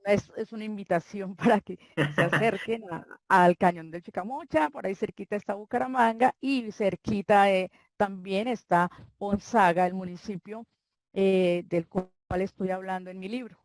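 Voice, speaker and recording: An older woman from Colombia with a Santander accent, a guest author chatting in an interview, talking warmly and rambling with frequent restarts. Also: medium pitch, normal speed, no room sound. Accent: Colombian Spanish, Santander. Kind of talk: casual interview chat.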